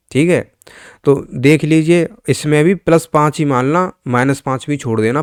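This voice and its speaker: A man's voice: mid-range voice, darkish tone, clean tone, no echo, clean studio sound. A man presenting exam news as an informal explainer, instructing viewers directly and often repeating himself. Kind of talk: informal explainer commentary over on-screen figures.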